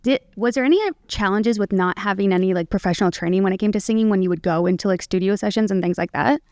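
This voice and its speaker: An upbeat woman from Canada hosting a relaxed interview, quick to affirm with short replies. Her voice is clear and smooth. The sound is dry and close. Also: medium pitch, dry recording. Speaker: radio interviewer. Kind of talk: casual interview chat.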